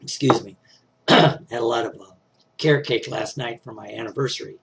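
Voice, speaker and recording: A man's voice: medium pitch, normal speed, creaky, a bit bright. A middle-aged man with a slightly scratchy voice, teaching success skills in a casual, conversational talk that he often punctuates with "right?". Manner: conversational, frequent "right?" and "you know" tags. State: scratchy voice, clearing throat.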